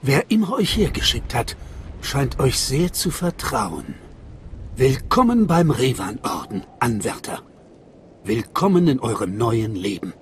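A man playing a solemn cult guide in a video game, speaking in a measured, reverent, mystical way. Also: mid-range voice, very animated, slight background noise. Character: solemn male cult guide welcoming initiates. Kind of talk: dramatic video game voice acting in German.

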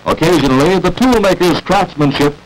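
Lively 1940s American film narrator, man. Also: high voice, a little fast, poor audio. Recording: old 1940s film soundtrack.

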